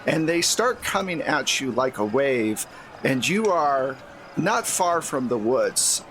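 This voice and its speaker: Unhurried man running a tabletop game. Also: mid-range voice, a bit bright. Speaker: game master. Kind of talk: improvised tabletop game narration and table banter. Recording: faint background noise.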